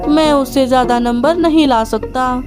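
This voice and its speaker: A woman's voice: sits high, normal speed, over music, lightly acted. A woman voicing a lazy, sulky young son bird in a children's cartoon, in a whiny, childish way.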